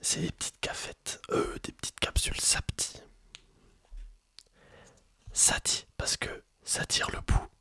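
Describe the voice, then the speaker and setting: A person whispering in an ASMR roleplay as a coffee shopkeeper, speaking to the listener in a hesitant, improvised sales patter with an airy, breathy voice. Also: slow pace, pauses often, bright, forceful tone. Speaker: ASMR performer playing a coffee roastery shopkeeper.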